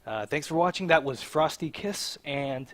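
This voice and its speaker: A man's voice: a little high, animated, moderate pace, dry recording. A man commentating casually on a game as he plays, reading bits aloud and tossing in joking reactions. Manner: joking asides and exclamations over the story. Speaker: let's play host. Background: game music with plucked guitar.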